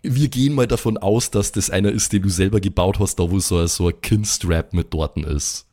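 A man's voice: low voice, a little fast, warm tone. A man narrating an improvised tabletop adventure as game master in speech.

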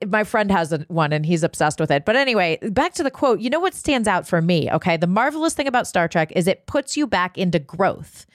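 A woman's voice: lowish voice, very animated, brisk, hardly any pauses, no room sound, studio quality. A woman guest-hosting a podcast in casual, conversational talk with little check-in questions.

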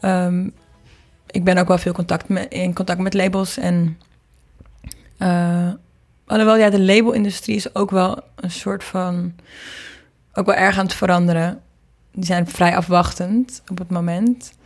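Low, even-pitched woman chatting. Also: a little slow. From Netherlands. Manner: rambling, loose, informal. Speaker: singer and producer being interviewed. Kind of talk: casual interview chat.

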